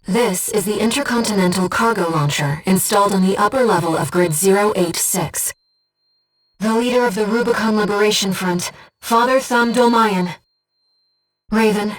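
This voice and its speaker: A woman voicing a young, gentle, bodiless female spirit in a video game, soft-spoken and precise as she guides a mercenary through missions. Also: medium pitch, distant mic, natural voice, poor audio. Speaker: mission operator companion. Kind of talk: video game voice acting, tactical radio guidance.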